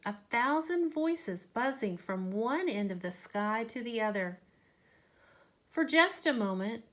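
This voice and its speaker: Very slow, deliberate woman storyteller. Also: medium pitch, fairly bright tone. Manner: measured, deliberate phrasing. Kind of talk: expressive children's storybook read-aloud.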